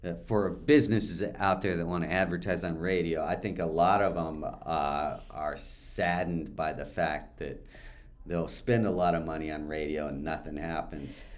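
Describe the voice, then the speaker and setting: Deep voiced guy hosting an interview, laid back, kinda musing. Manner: relaxed, musing, unhurried. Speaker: interview host. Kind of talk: casual interview chat.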